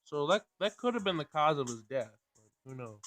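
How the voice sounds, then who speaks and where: A man hosting a gaming video, casually thinking out loud in a tentative way as he plays and reads. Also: on the high side, big pitch swings, fairly quick. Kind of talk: casual let's play commentary and read-aloud game text.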